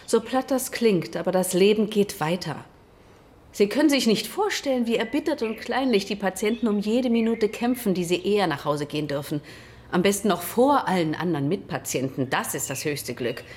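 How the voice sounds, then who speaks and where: A woman playing a bitter, evasive middle-aged doctor in a radio drama, with a cool, measured and complaining manner. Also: medium pitch, expressive, darkish tone, lightly acted. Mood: bitter, disdainful. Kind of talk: naturalistic radio drama acting.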